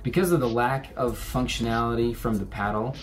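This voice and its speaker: A man in his thirties reviewing a paddle board to camera, explaining features in a measured way from a room indoors. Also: mid-range voice, fairly even intonation, soft background music. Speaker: gear reviewer co-host. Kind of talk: product review talk to camera. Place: indoor room with curtains and a plant.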